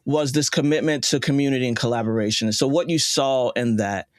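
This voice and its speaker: A man's voice: mid-range voice, brisk, no room sound, studio quality. A man speaking as a podcast guest in a conversational interview, encouraging and emphatic. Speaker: podcast guest, independent audio creator.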